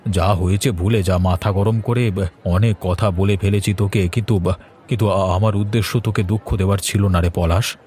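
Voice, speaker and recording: A man playing a tender, remorseful middle-aged village father in a cartoon folk tale, speaking gently and hesitantly. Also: deep voice, fairly even intonation, normal speed, lightly acted. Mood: pleading.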